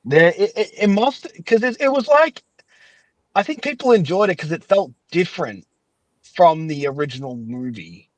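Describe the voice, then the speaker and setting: A man's voice: high voice, lively intonation, normal speed, dry recording, poor audio. A man hosting a podcast in casual chat, talking in an enthusiastic, rambling, chatty way. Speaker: podcast host and fan-dub maker.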